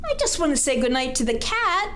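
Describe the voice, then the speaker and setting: Woman acting little storybook girl, very high, sweet, stalling, sing-song pleading, drawn-out words. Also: big pitch swings, a bit bright.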